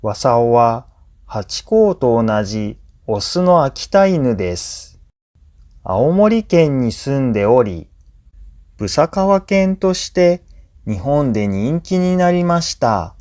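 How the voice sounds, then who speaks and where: Slow, expressive male storyteller. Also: mid-range voice. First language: Japanese. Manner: measured, clearly phrased storytelling for learners. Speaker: narrator of a language-learning channel. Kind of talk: scripted narration of a true story for listening practice.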